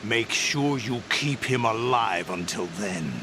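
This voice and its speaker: An actor playing a cold, commanding terrorist leader in a video game, curt and gravelly. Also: male voice, fairly low pitch, lively intonation, slow pace. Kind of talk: video game cutscene acting. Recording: noisy vehicle-like ambience behind.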